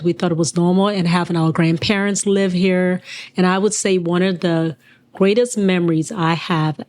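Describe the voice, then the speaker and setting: A woman in her forties, originally from Liberia, talking earnestly and at a measured pace as an interview guest, pausing mid-sentence. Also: lowish voice, a little mellow. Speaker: guest, business owner. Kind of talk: reflective personal interview.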